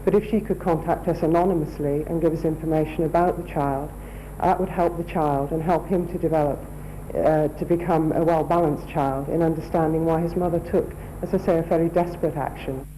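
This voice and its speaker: Very low, mellow woman interviewee. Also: fairly even intonation, brisk. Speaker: social worker interviewee. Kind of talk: spoken interview appeal. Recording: noisy old TV broadcast, background ambience.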